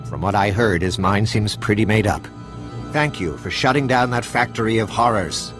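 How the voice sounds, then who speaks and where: A man's voice: lowish voice, moderate pace, music in the background, close to an everyday voice. An actor playing a male Republic loyalist in a video game, earnest and solemn in his manner. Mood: grateful, determined.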